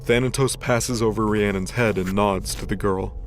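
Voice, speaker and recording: Guy with lowish voice reading dramatic story narration, music behind him. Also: steady tone, moderate pace.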